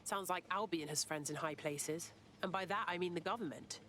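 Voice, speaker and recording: A woman's voice: fairly low pitch, moderate pace, a bit bright, slight background noise, close to an everyday voice. A woman voicing an earnest, idealistic resistance recruiter in a video game. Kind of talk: video game cutscene acting.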